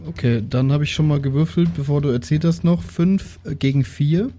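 A man's voice: on the high side, normal speed, dark tone. A man chatting casually as a player in a tabletop role-playing game. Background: background music.

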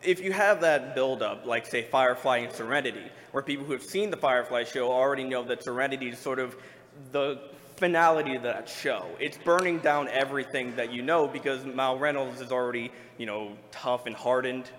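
Man, average pitch, convention panelist, casual discussion, long room reverb. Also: brisk, fairly bright tone. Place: large indoor convention room. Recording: echoey hall, live panel.

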